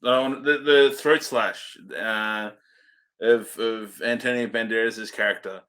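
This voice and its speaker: A man's voice: mid-range voice, fairly even intonation, a little slow, pushed and bright. A man co-hosting a movie review show, giving his opinions in casual conversation.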